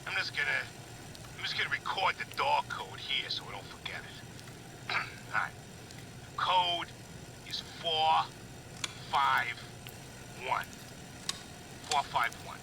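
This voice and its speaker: An actor playing an exasperated, sarcastic American studio worker grumbling on a noisy audio log in a video game. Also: male voice, a little high, takes it slowly, creaky, long pauses. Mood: muttering. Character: exasperated male toy-and-cartoon studio worker griping about security.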